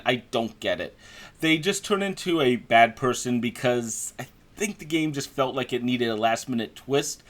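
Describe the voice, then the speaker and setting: Male game reviewer, mid-range voice, delivering opinionated review commentary in a conversational way with stressed key words. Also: medium pitch.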